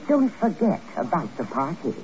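An actress playing an affected, effusive, scheming and imperious society hostess in a 1950s radio drama. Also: female voice, low voice, big pitch swings, moderate pace, a little mellow, slight background noise. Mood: indignant. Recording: muffled vintage radio recording, crowd behind.

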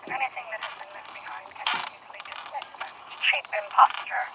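A man's voice: brisk, close to an everyday voice. A man playing a cold, commanding alien conspirator in a radio drama, speaking in a formal, declamatory way. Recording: muffled, very noisy radio-like sound.

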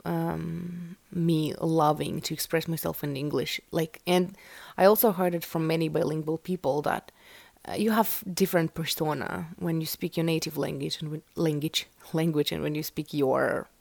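Woman hosting a solo podcast, low, slightly gravelly voice, dry close mic, rambling and full of fillers. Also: a little mellow, no room sound. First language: Russian. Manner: chatty, rambling, many fillers like "like" and "um". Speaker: podcast host. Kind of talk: casual solo podcast talk.

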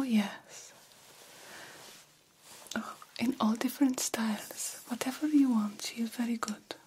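Slow whispering vampire doctor, played by a woman. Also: mid-range voice, a little hiss or hum, natural voice, low-quality recording. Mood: proud, fussy. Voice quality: breathy whisper. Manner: slow, formal, measured, gently encouraging. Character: formal, reassuring female vampire doctor, proud and vain. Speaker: ASMR roleplay performer. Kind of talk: ASMR roleplay, whispered personal attention.

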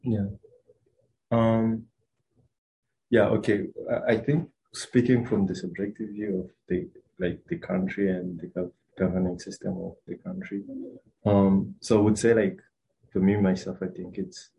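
A man's voice: fairly low pitch, level tone, measured pace, vocal fry, warm tone, slight background noise, low-quality recording. A young man from Rwanda, a student answering interview questions in a hesitant, casual way with many fillers and restarts. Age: young adult.

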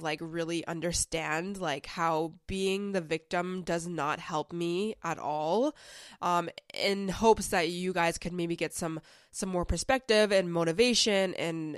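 A woman's voice: lowish voice, clear, resonant tone, no echo, studio quality. Slightly sick young woman, chatty podcast host. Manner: chatty and conversational, with frequent "like" fillers. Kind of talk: casual self-help podcast monologue.

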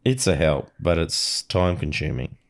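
A man co-hosting a podcast, joking and quick, his voice croaky today. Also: very deep voice. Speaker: podcast co-host. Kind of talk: casual podcast quiz questions.

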